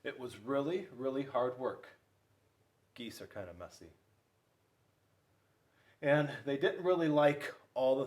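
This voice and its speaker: A man reading a children's storybook aloud, with dramatic pauses and emphasis. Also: medium pitch, recorded from a distance. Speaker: school resource police officer reading to children.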